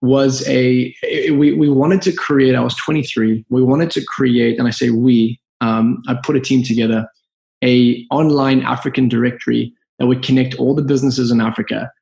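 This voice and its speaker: A man with a Zimbabwean accent speaks in a gravelly, fry-edged voice at a middling pitch. He tells his story in an earnest, reflective and open way, as a guest on a podcast. The sound is roomy, with a clear echo around him. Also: steady tone, moderate pace, a little mellow. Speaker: guest entrepreneur.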